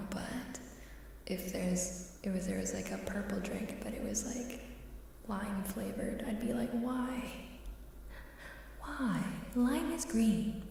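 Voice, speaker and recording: A woman talks in a soft, mellow ASMR voice that often slips into whispers. She takes her time, with a playful, coy manner. The sound is heavily echoing and a little distant, with faint ambience behind her. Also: medium pitch, gravelly. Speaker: ASMR live streamer. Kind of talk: ASMR casual chat with whispering. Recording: reverberant hall-like echo, faint hiss, distant.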